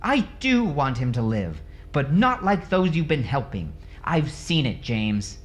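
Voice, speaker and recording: A man's voice: medium pitch, very animated, measured pace, faint music behind, pitched above the performer's own voice. A man voicing an angry, tearful mother in a heated audiobook family argument. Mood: angry, tense. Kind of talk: dramatic audiobook character dialogue.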